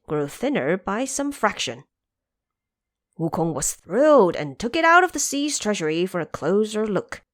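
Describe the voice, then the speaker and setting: A woman narrating a classic fantasy tale for an audio drama. She reads in a measured, unhurried way, with plenty of rise and fall in her pitch. Now and then she pauses to explain old measures in modern terms. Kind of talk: scripted storybook narration.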